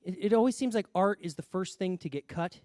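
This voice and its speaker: A man's voice: high voice, a little fast, darkish tone, dry recording, studio quality. A man hosting a radio variety show, chatting casually and effusively as he interviews a guest on stage.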